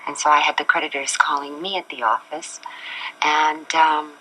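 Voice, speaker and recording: A woman's voice: deep voice, little pitch movement, moderate pace, sharp tone, a little hiss or hum, rough recording. A woman in her forties from Chile, a talk show guest speaking earnestly and at length about her own life, recorded on an old TV studio set. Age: 40s. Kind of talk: candid personal talk.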